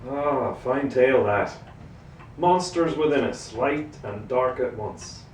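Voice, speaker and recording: Echoey, courtly gentleman acted by a man. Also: medium pitch, lightly acted. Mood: musing. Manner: courtly, measured, musing. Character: gentlemanly, bookish, brooding handsome neighbor man. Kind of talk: live dramatic book reading. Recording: roomy, distant microphone, noisy ambience.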